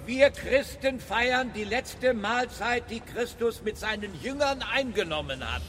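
Stiff preachy priest in a radio play, high solemn declaiming, lots of noise around him. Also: male voice, animated, unhurried, a bit bright, heavily acted. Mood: unyielding. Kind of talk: sermon acted in a radio drama.

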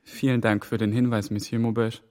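A man's voice: fairly low pitch, flat delivery, a little fast, darkish tone, no echo, clean studio sound, close to an everyday voice. An actor playing a polite, reserved young male trainee in a radio play, speaking carefully and formally. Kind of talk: radio play dialogue and reading aloud.

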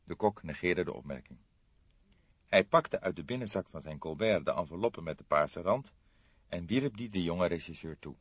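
A man reading a crime novel aloud as an audiobook narrator, in expressive scripted narration. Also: low-pitched, normal speed, husky, no room sound. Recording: dull lo-fi dry studio sound.

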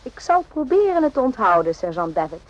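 An actress playing a wry, kindly army doctor in a radio drama, questioning and dryly teasing. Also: female voice, on the high side, very animated, brisk. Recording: muffled old radio recording with hiss.

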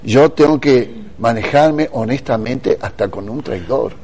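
A man's voice: medium pitch, a little slow, a little mellow, slight background noise. A man speaking as a talk show guest, assertive and sententious in motivational conversation. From Argentina.

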